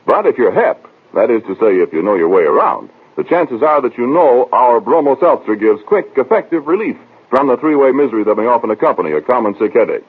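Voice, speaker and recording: A man's voice: medium pitch, big pitch swings, fairly quick, husky. A man working as a radio announcer, reading a sponsor spot in a folksy, polished 1940s commercial style. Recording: thin, narrow old radio broadcast.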